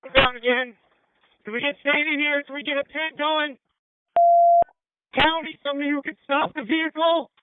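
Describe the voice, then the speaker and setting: Male officer on scanner, high voice, urgent short callouts, tinny radio w some noise. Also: little pitch movement, normal speed, pauses often. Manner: urgent, clipped. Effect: two-way radio. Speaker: police officer calling in a pursuit. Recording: noisy narrow-band police scanner radio.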